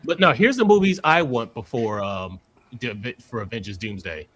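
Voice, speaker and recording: A man in a casual podcast chat, talking in a low-key way and trailing off. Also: lively intonation, normal speed, a bit bright.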